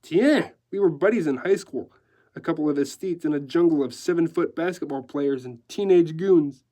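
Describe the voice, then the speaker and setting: A man's voice: fairly high pitch, moderate pace, mellow, voice pushed up, lightly acted. A man voicing a sly, gleeful, theatrical male decorator in a story, gushing and affectedly dropping French phrases. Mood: nostalgic, confiding.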